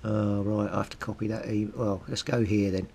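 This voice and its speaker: Low-voiced British man, casual and informal. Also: fairly quick, vocal fry. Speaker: hobbyist video host. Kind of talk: casual how-to vlog commentary, thinking aloud. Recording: steady background noise with low rumble.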